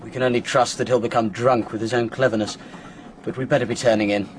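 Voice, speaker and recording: An actor playing a brisk, self-assured police inspector with a British accent and a clipped, businesslike manner in a radio drama. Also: male voice, medium pitch, steady tone, slight background noise, natural voice. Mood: matter-of-fact.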